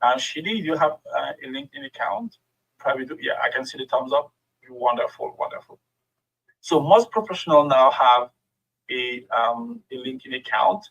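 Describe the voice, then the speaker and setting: French-accented man with a gravelly voice. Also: mid-range voice, animated, normal speed, fairly bright tone, lo-fi sound. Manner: friendly, many fillers, encouraging. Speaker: guest speaker. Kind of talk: online workshop presentation. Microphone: video call.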